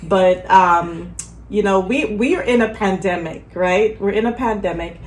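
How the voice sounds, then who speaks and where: A woman hosting a casual chat, lively and joking, with slangy asides. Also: mid-range voice, a little slow. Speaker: host. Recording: echoey room, faint ambient noise.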